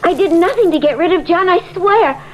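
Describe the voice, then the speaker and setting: An actress playing a nervous, pleading, evasive widow, hesitant and melodramatic, in old radio drama style. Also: female voice, very high voice, expressive, a little fast, a little hiss or hum. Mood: pleading, defensive.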